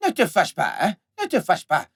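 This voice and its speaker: A man's voice: high voice, wildly swooping pitch, normal speed, gravelly, breathy edge, full character voice. A man playing a volatile, scheming husband in a film melodrama, repeating himself and stammering through his words. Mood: defensive, exasperated. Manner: repetitive, stammering delivery. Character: volatile, scheming unfaithful husband with a short temper.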